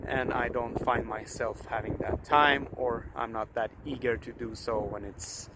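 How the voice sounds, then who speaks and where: A man's voice: mid-range voice, fairly even intonation, measured pace. A young man with a Swedish accent, chatting casually and upbeat about his new car in a vlog. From Sweden. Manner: chatty, upbeat, self-deprecating asides. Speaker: car vlogger. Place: outdoors at a fuel station and by a car. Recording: outdoor wind and ambient noise.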